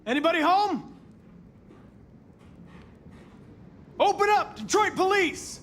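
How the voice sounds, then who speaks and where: A man's voice: very high-pitched, lively intonation, moderate pace, a bit bright, slight background noise. A man playing a polite young android detective in a video game, speaking formally and precisely in an earnest, analytical way. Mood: commanding, shouting.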